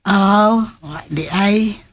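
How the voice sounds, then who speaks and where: A woman playing a concerned, kindly older aunt in a radio drama, with a husky voice and a Cebuano Filipino accent. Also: medium pitch, very slow. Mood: concerned, confiding.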